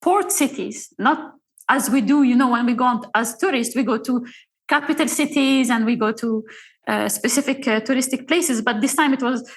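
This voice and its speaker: Woman, halting and warm-paced. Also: a little high. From Algeria. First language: Arabic. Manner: halting, with frequent fillers and restarts. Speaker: podcast guest. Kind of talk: casual storytelling interview.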